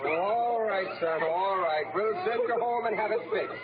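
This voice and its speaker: Higher-voiced radio playground attendant. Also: male voice, measured pace, a crowd in the background. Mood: soothing, hurried. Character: deferential, concerned playground attendant. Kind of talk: 1940s radio drama acting. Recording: muffled, noisy old radio recording.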